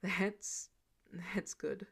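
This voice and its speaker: An earnest male soldier, voiced by a woman in an audiobook story. He speaks calmly in a lowered pitch, with quiet concern. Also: drawn-out words, creaky, slightly rough, heavily acted. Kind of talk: audiobook character dialogue.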